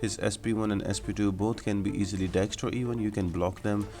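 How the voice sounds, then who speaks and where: Low-voiced man giving a game walkthrough. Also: fairly even intonation, fairly quick, a little mellow, faint music behind. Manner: instructional, explanatory. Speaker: gaming guide host. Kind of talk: video game walkthrough commentary.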